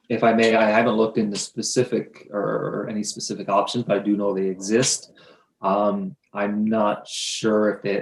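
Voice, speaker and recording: A man's voice: fairly low pitch, echoey room, rough recording. A man on staff presenting options at a council meeting, explaining carefully with frequent restarts and hedges. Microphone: video conference mic.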